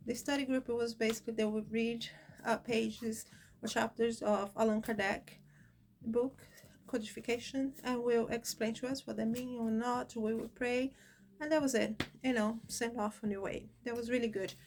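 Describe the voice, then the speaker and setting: Host, female, 40s, Brazilian Portuguese accent, second-language English, slightly high voice, hesitant unscripted delivery, light background hiss. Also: fairly even intonation, normal speed, a little mellow. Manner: hesitant, searching for words, many fillers. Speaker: channel host. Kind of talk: personal talk to camera.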